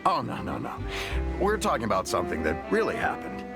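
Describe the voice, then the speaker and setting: Deep-voiced, animated, polite townsman. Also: a little fast. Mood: startled, polite. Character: genteel townsman, polite and easily flustered. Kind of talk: scripted video game dialogue. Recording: music behind the dialogue.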